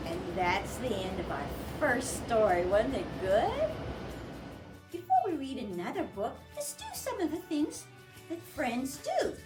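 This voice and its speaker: Woman, children's storytime read-aloud, slow pace, lively swooping intonation, sing-song, music behind. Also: a little high. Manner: sing-song, simple questions to child listeners. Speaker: children's librarian reading storytime.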